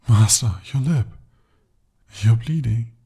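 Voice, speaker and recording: A man voicing a devoted, possessive male demon in an ASMR romance roleplay, speaking softly and formally. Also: medium pitch, drawn-out words, breathy edge, heavily acted. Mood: tender. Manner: soft, intimate, formal speech. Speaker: voice actor.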